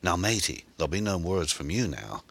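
Audiobook villain: male narrator as cocky English teen gang boss, chirpy, mock-courteous menace, low and very animated delivery. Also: normal speed, creaky, heavily acted. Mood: taunting menace. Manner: taunting, chirpy. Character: teenage English gang leader, mockingly polite, menacing.

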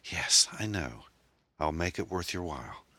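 A man voicing a frightened young woman held hostage, pleading in a soft, breathy manner. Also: deep voice, expressive, unhurried, dry recording, full character voice. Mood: resigned.

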